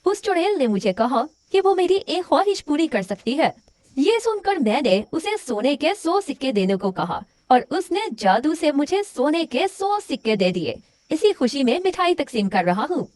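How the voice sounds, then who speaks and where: A woman voicing an innocent young male deer turned human in a children's animated story. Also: high voice, normal speed, no echo, studio quality. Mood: cheerful.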